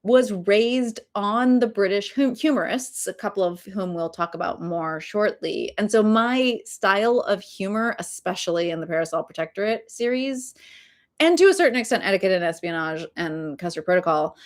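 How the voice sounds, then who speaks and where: A woman's voice: mid-range voice, expressive, moderate pace. A middle-aged woman author chatting casually about her favorite funny books, with lots of ums and self-mocking asides. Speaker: author talking to her readers. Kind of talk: casual book recommendation talk.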